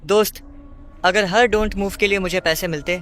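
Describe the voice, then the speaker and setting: Young male game hero: high voice, boyish, earnest, casually hesitant, music underneath. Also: fairly even intonation, normal speed, a bit bright. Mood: playful, earnest. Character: earnest young man, friendly secret vigilante hero. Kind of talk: Hindi-dubbed video game dialogue.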